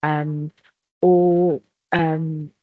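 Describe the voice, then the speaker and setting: A woman's voice: deep voice, flat delivery, extremely slow, dry recording. A South African woman from the Free State leading a university exam-preparation workshop, explaining step by step and often restarting phrases with "um". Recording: dull, dry lo-fi sound.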